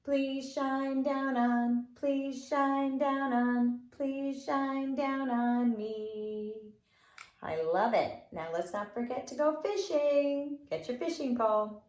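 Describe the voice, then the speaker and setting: High, sing-song woman teaching nursery circle time. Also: slow pace, a little mellow. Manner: sing-song, playful, encouraging. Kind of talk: children's circle time with finger plays, rhymes and singing. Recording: roomy, echoing indoor sound.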